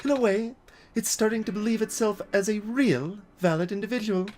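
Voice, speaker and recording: A let's player voicing a weary, grave robot guide from a video game, explaining things in a measured way. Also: mid-range voice, darkish tone, slight background noise, lightly acted. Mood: earnest, explanatory. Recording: music behind the voice.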